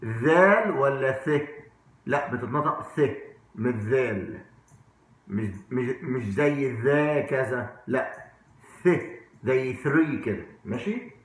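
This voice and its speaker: A man's voice: mid-range voice, animated, slow. Egyptian guy teaching english casually, repeats words a lot, asks questions, super echoey room. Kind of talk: informal whiteboard language lesson. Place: indoor room at a whiteboard.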